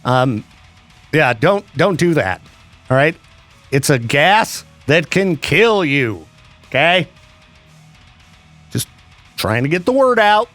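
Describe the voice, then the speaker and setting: A man's voice: on the high side, big pitch swings, takes it slowly, long pauses, a bit bright, faint music behind. Chatty, joking radio host, a man. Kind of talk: casual radio banter.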